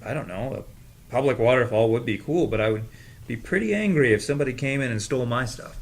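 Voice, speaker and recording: A man's voice: mid-range voice, lively intonation, fairly quick, close to an everyday voice. A man acting an eager, trusting young man, playful and a little naive, in an audio drama read. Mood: hesitant, guarded.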